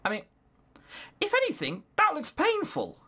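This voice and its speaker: A man's voice: very high voice, wildly swooping pitch, normal speed, bright, forceful tone. A man hosting a gaming video, reading game text aloud and commenting on it casually with sarcastic, incredulous, rambling asides.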